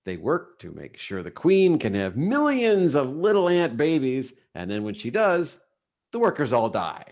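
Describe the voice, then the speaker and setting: A man's voice: mid-range voice, heavily acted. An actor playing a jaded, withdrawn man who has given up caring, speaking emphatically in a play reading over a video call. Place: live Zoom video call.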